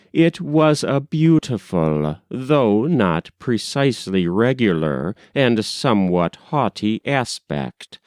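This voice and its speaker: A man reading a literary story as an audiobook narrator, in a measured, expressive storytelling delivery. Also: lowish voice, clear, resonant tone, no room sound, clean studio sound. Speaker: audiobook reader.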